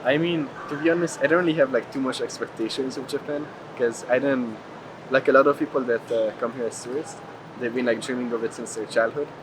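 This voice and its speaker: Casting: male traveler from Hungary, casual rambling interviewee, mid pitch, clear ambient noise. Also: fairly quick. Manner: frequent "like" filler, rambling. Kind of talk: casual chat.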